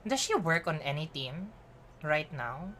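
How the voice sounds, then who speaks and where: A woman with a Filipino accent chatting casually as she streams a game, mixing Tagalog and English and thinking out loud. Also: low-pitched, sing-song, measured pace, slight background noise. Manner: chatty, switching between Tagalog and English mid-sentence; giggly, thinks aloud while playing.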